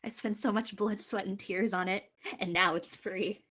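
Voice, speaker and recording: A woman's voice: mid-range voice, steady tone, moderate pace, a bit bright. A young woman chatting casually and upbeat as a guest on a podcast. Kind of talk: casual chat.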